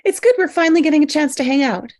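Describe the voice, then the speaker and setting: A self-deprecating, flustered woman , acted by a woman at a table read. Her voice sits a bit high and swings widely in pitch, with a natural, apologetic delivery. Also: expressive, racing. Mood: politely guarded. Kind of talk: live screenplay table read.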